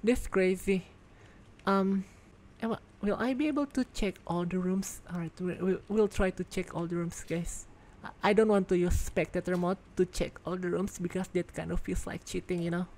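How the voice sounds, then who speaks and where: A woman giving casual gameplay commentary as a reviewer, excitable and thinking out loud, congested and losing her voice. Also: lowish voice, moderate pace. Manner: excitable, exclaims in surprise, thinks aloud. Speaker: game video reviewer.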